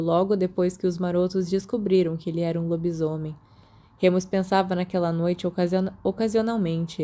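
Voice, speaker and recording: A Brazilian woman reads a story as an amateur audiobook narrator. Her voice is fairly low and very mellow, with a soft storytelling delivery. She gives the dialogue as well as the narration, occasionally tripping on a long word.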